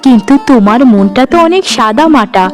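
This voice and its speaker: A woman's voice: a little high, animated, fairly quick, a little mellow, faint music behind, close to an everyday voice. A woman voicing a frank, nosy village neighbour in cartoon story dialogue, chatty and plain-spoken. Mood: surprised.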